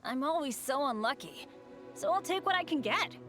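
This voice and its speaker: A woman's voice: high-pitched, expressive, normal speed, music in the background. A woman voicing a cheerful, eager teenage boy adventurer in a video game. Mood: upbeat.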